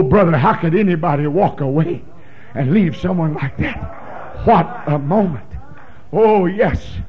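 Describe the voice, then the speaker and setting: A man preaching a sermon, reading scripture in a big booming voice and breaking into fervent shouts. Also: slightly rough, muffled recording. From Oklahoma. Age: 60s. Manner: fervent, emphatic pulpit delivery with sudden shouts.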